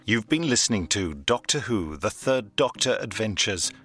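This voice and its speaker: A man reading end credits in a measured, formal announcer manner. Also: lowish voice, big pitch swings, a bit bright.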